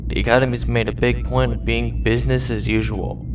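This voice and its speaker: A man's voice: medium pitch, flat delivery, a little fast, music in the background, natural voice. An actor playing a young male space cadet recording a worried message to his father, talking in a hesitant, wistful way. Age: young adult. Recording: distant, dull lo-fi recorded message with noise.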